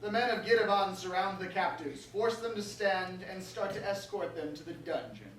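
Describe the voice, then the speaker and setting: A woman's voice: mid-range voice, expressive, moderate pace, slight background noise. Woman narrating in a very echoey hall. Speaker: stage directions reader. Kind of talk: scripted narration. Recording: very echoey hall, distant mic.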